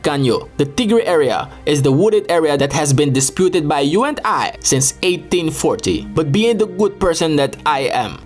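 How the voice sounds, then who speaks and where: Young guy ranting sarcastic and offended, bit high voice, soft music under it. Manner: indignant, scolding, sarcastic comic rant addressed to a rival. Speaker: comedic video creator. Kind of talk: mock love letter monologue.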